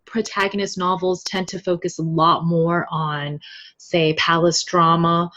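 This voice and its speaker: A woman's voice: on the low side, flat delivery, a little slow, pushed and bright, lo-fi sound. A woman speaking as a podcast guest, chatty and candid in a casual conversational interview. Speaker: podcast guest, web novel translator.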